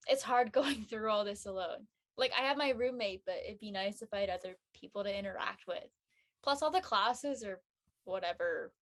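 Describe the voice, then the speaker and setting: A young woman playing a lonely, discouraged college student, speaking in a hesitant, plaintive way in a dramatic script reading. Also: mid-range voice. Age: young adult.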